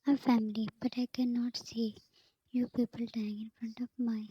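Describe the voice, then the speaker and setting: A woman voicing a heartbroken young woman who pleads but stays resolute, all in a soft whisper. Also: fairly high pitch, little pitch movement, normal speed, muffled recording, slight background noise, close to an everyday voice. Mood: anguished.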